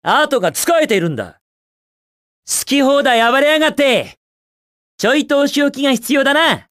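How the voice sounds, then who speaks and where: A cocky young hedgehog hero for a video game. The delivery is upbeat, brash and casually slangy, moving freely up and down in pitch. The sound is dry and studio-clean, with no room around it. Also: male voice, animated, moderate pace, fairly bright tone, no room sound. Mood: cocky, taunting. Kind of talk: Japanese video game voice clips.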